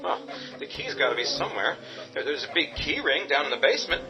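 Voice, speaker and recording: A man's voice: on the high side, sing-song, fairly quick. An actor playing an earnest young man in a radio drama, speaking helpfully but a little hesitantly. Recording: muffled audio with loud music.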